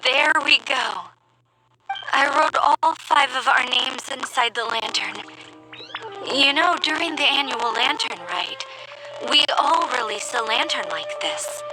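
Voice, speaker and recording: A woman playing a gentle, polite artisan of a fantasy town in measured video game dialogue over music. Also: high voice, big pitch swings, heavily acted, low-quality recording. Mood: warm, reflective. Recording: game music clearly behind the voice.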